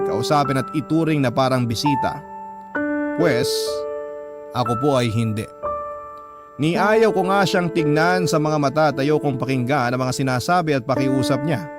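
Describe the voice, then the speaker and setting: Male Filipino radio narrator, dramatic letter reading, medium pitch, emotive, music bed behind. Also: animated, normal speed. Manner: earnest, emotive storytelling. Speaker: radio host and story narrator.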